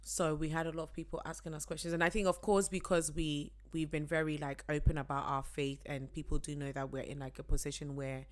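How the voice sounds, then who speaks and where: A woman in her thirties co-hosting a podcast with her husband, chatting in a relaxed way and laughing easily. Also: low-pitched, fairly quick, hardly any pauses. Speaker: podcast co-host. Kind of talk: casual chat.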